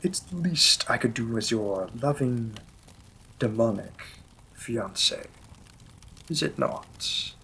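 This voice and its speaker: A man performs a demon king from a romantic fantasy audio drama. He speaks slowly and intimately in a gravelly, bright-edged voice, formal in his wording and gently teasing, like a protective, possessive lover. Faint noise sits behind him. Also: mid-range voice, animated, heavily acted, poor audio. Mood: teasing, seductive.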